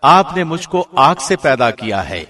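A man with a slightly high voice reads translated scripture aloud. His delivery is formal and measured, each phrase carefully set out like a trained broadcaster's. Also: very animated, sharp tone. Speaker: translation reader, professional radio host.

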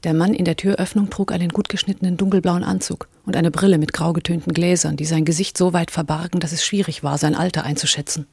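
An actor playing the observant, sober first-person narrator of a crime radio drama, in measured descriptive tones. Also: medium pitch, little pitch movement, creaky, darkish tone, husky, no echo.

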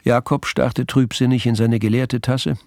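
An actor serving as the narrator of a mystery radio play, reading scripted literary narration. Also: male voice, medium pitch, level tone, normal speed, darkish tone, no room sound, studio quality, natural voice. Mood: narrating.

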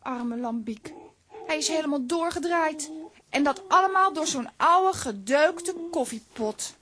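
A bossy, spirited little girl in a children's radio play. The voice is extremely high and lively, with measured pacing, on a noisy recording. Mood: uneasy, curious. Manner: bossy, exclamatory.

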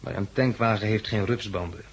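Weary, husky besieged man. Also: on the low side, brisk. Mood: irritated. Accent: Netherlands Dutch. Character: Bill, weary, irritable man defending a besieged farmhouse. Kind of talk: 1960s Dutch radio drama acting.

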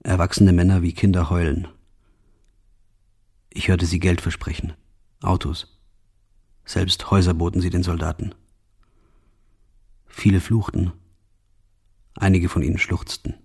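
A numb, guilt-ridden soldier recounting his deeds in a radio drama. His voice sits low and is thickly husky, with a lot of breath in it. The delivery is grave, restrained and halting. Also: a little mellow, heavily acted. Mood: haunted, sorrowful. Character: guilt-ridden male soldier of a firing squad, numb, exhausted. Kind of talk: scripted first-person radio play monologue.

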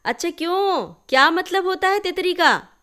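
A woman's voice: very high-pitched, expressive, normal speed, pushed and bright, voice pushed up, heavily acted. A woman reader acts out a young woman in a storybook. She is inquisitive and easily flustered, given to questions and indignant exclamations. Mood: curious. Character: curious, flustered young city-bound woman, indignant and scheming. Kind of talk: acted dialogue in a story reading.

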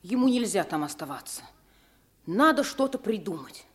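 A woman acting a lively young boy who wants to ask his uncle for help, in an old radio play. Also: medium pitch, moderate pace, lightly acted. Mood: eager.

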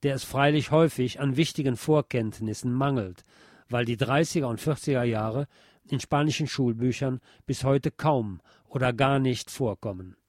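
A man's voice: mid-range voice, moderate pace, no room sound. Man reading formally in a dry recording. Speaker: narrator reading a history text. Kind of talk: scripted narration, reading aloud.

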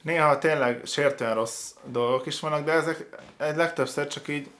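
Halting man, casual commentator. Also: a little high, fairly even intonation, a bit bright. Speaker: host of a video reaction show.

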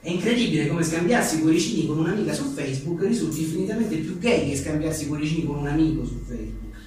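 A man's voice: a little high, animated, fast, darkish tone. A man reads comic one-liners aloud at a live book presentation, in a wry, joking way with offhand asides. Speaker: journalist and author presenting his book. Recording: echoey room, distant mic, faint noise.

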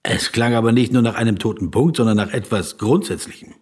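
An actor playing a reflective, sceptical yet compassionate questioner in a radio drama, speaking in a measured, insistent way and slipping into inner narration. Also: male voice, medium pitch, darkish tone, breathy edge, lightly acted. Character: reflective male interviewer of a famous man, probing, sceptical, compassionate.

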